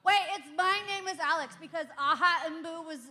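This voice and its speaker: A woman's voice: very high voice, moderate pace, bright, forceful tone. A young woman co-hosting a youth service stream, bantering with teasing sarcasm. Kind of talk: casual live-stream chat. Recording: long church hall reverb.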